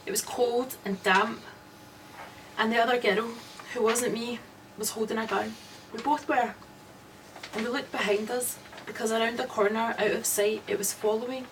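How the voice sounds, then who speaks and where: An actress playing a haunted woman who narrates her nightmare slowly and dramatically in an old radio suspense drama. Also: on the high side, fairly even intonation, natural voice. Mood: haunted dread. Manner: slow, dramatic, dread-laden. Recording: distant, noisy old radio recording.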